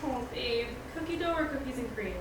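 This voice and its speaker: A woman's voice: high voice, fairly quick, lightly acted. A woman playing a supportive, frank teenage best friend in a casual screenplay table read. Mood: caring. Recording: echoey room, distant mic, background noise.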